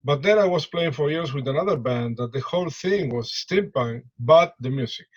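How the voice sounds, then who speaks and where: A man with an Argentine Spanish accent talking casually in an interview, repeating words in halting non-native English. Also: a little high, expressive, highs cut off. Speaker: musician, percussionist and drummer interviewed.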